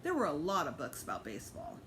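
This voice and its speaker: A woman's voice: deep voice, wildly swooping pitch, fast, a bit bright, a little hiss or hum. A woman narrating a children's book aloud as a teacher reading to students.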